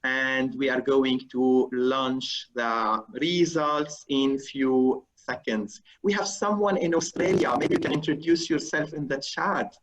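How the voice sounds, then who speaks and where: A man hosting a webinar on a video call who runs an audience poll in a procedural way, pausing with fillers as he reads the results. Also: mid-range voice, steady tone, muffled recording, slight background noise. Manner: procedural, pauses with fillers while reading the results. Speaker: webinar host. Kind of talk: moderating a live webinar poll.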